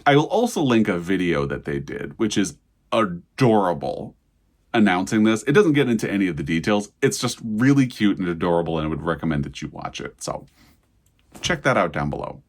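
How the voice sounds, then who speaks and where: A man's voice: deep voice, very animated, a little fast. A man hosting a video in a casual, chatty and enthusiastic way, commenting as he talks to the viewer. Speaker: host of a book news video channel.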